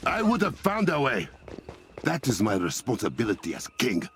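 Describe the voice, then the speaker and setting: A man's voice: mid-range voice, wildly swooping pitch, moderate pace, noisy background. An actor playing a proud, stern warrior king with an African accent, formal and commanding, in a dramatic video game. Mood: grave resolve. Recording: loud game score behind.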